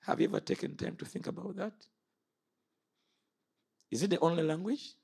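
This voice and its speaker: A man's voice: sits high, moderate pace, gravelly, darkish tone, breathy edge. A man in his fifties from Uganda preaching an impassioned sermon. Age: 50s. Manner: impassioned, rises and falls sharply.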